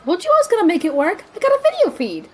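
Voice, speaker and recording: A woman's voice: extremely high pitch, wildly swooping pitch, fast talker, full character voice. A woman playing a tech-minded, sarcastic female sidekick who teases dryly, in an audio drama. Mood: bossy, mocking. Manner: dry, sarcastic, teasing.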